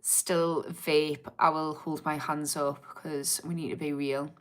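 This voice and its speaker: Geordie woman w a bit of a posh twang, lowish voice, rambly honest livestream chat. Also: little pitch movement, takes it slowly. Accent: Geordie with a slightly posh twang. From England. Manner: candid, informal, rambling. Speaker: addiction therapist hosting a livestream. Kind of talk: casual livestream chat, reading and answering viewer comments.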